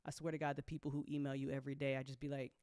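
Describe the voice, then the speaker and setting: A woman's voice: extremely low pitch, little pitch movement, racing, darkish tone, no echo, studio quality. A woman talking casually and off the cuff as an audio drama producer updating fans, candid, colloquial and full of swearing, emphatic when she makes a point.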